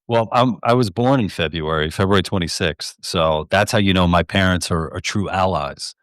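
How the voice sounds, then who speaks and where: A man's voice: low voice, normal speed, a bit bright, clear, resonant tone, no echo, clean studio sound. A man hosting a podcast, chatting loosely and joking irreverently. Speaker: podcast host. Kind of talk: casual chat.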